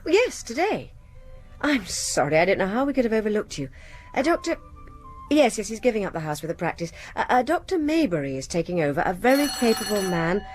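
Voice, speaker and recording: An actress playing a polite, apologetic but firm British dental receptionist in a radio drama, with a prim manner. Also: female voice, medium pitch, big pitch swings, fairly quick, soft background music, lightly acted. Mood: flustered, apologetic.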